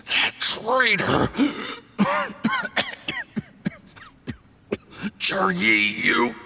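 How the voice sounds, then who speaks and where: Gravelly, dying betrayed man. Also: medium pitch, wildly swooping pitch, drawn-out words, sharp tone, full character voice. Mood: anguished, betrayed. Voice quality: gravelly, strained. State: weakened, gasping and coughing. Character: betrayed, poisoned Fatui man, defiant while dying. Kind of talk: video game voice acting. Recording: reverberant, noisy game capture.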